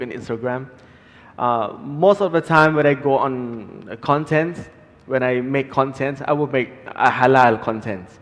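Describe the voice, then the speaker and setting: Thai-accented man speaking in a reverberant hall. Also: mid-range voice. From Thailand. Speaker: panelist, halal content creator. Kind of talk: informal self-introduction on stage. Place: large hall, on stage at a forum. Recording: reverberant hall, stage sound.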